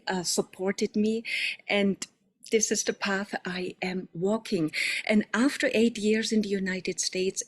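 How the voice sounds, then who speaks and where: A woman welcomes people to an online event over a video call microphone. Her pitch sits in a middle range. She speaks upbeat and friendly, with occasional fillers and restarts. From Austria. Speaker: coach and company founder hosting an online event. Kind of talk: welcoming presentation to an online audience.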